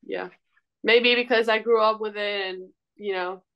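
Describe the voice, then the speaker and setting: A woman's voice: mid-range voice, steady tone, measured pace, smooth, lo-fi sound. A young woman from Greece, a volleyball player answering interview questions in a casual, hesitant, informal way. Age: young adult.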